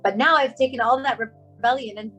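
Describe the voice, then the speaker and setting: A woman's voice: a little high, brisk, fairly bright tone, highs cut off, soft background music. A young woman from Hong Kong, a makeup artist and brand founder, talking casually as a guest in an interview and laughing often. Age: young adult.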